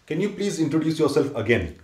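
A man's voice: a little high, a little fast. A man in his thirties from India, hosting a casual interview from a living-room sofa, explaining things with fillers and restarts. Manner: relaxed, explanatory, with frequent filler sounds and restarts. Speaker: interviewer and channel host. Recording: echoey room.

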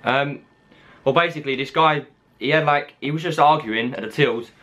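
A man's voice: fairly high pitch, normal speed, pushed and bright. A young man, chatting casually in a hesitant, rambling, slangy way. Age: young adult. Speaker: prank caller, video host. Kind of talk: casual improvised chat. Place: small room at home.

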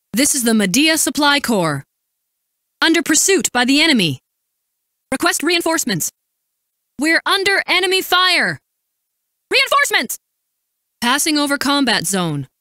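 An actress playing a composed, dutiful woman military supply officer in a video game, giving crisp, formal, commanding briefings and callouts. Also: sits high, sharp tone, dry recording, clean studio sound, heavily acted. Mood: urgent.

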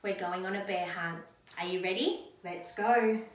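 A woman's voice: lowish voice, normal speed. A young woman reading a picture book aloud to children in a theatrical, exaggerated way. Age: young adult. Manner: theatrical, exaggerated expression with dramatic gasps. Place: small indoor classroom. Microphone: camera a short distance away. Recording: echoey room, slightly distant microphone.

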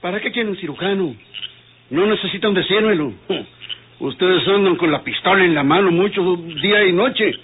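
An actor playing a proud, indifferent, ageing rich doctor in an old radio drama, speaking formally and indignantly. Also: male voice, high-pitched, moderate pace, lightly acted. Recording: tinny narrow-band old radio drama, faint noise.

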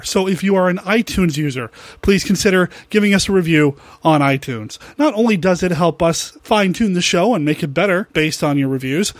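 High-pitched male podcast host. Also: no room sound. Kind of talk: conversational podcast hosting.

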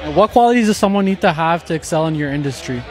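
A man's voice: a little high, lively intonation, quick pace, faint music behind, a faint crowd. A young man, asking short casual questions as a street interviewer outdoors. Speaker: interviewer, host. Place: outdoor patio at an event. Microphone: handheld interview mic.

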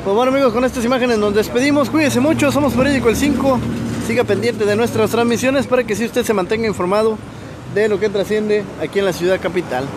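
Higher-voiced man reporting from a street. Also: fast talker. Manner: informal reporting with many fillers. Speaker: local news reporter. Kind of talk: live street report, phone-filmed. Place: busy city street outdoors. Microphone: phone camera, reporter off screen. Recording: outdoor street with traffic noise.

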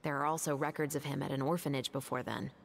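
An actress playing a calm, methodical detective captain who speaks in a measured, serious way. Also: female voice, low-pitched, vocal fry, a little hiss or hum. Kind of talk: video game voice acting.

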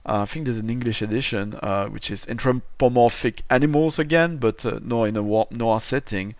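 A middle-aged man from Belgium hosts a relaxed podcast chat. He rambles and restarts sentences as he goes. His voice is mid-pitched and recorded close and dry. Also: a little fast, no echo. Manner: chatty, rambling, many restarts and laughs. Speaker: podcast host. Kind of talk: casual podcast interview.

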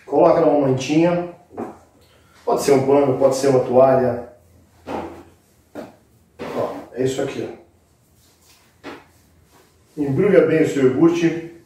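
The sound is strongly reverberant, picked up a little away from the microphone in an indoor kitchen. A Brazilian man with a mellow, mid-pitched voice hosts a cooking lesson. He talks informally and directly to viewers, walking them through each step. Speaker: cooking show host. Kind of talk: casual step-by-step recipe demonstration. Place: indoor kitchen set with brick wall. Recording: very echoey room, distant microphone.

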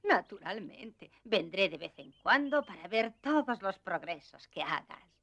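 An actress playing a kind, gentle old grandmother in a dubbed children's cartoon, reassuring and affectionate. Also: medium pitch, big pitch swings, measured pace, heavily acted. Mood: tender.

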